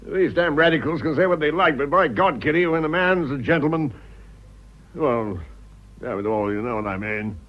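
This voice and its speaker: An actor playing a blustering but fond old aristocrat in a British radio drama, gruff and clipped, with an upper-class British accent. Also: male voice, a little high, moderate pace, muffled recording, lightly acted. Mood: flattered, blustering.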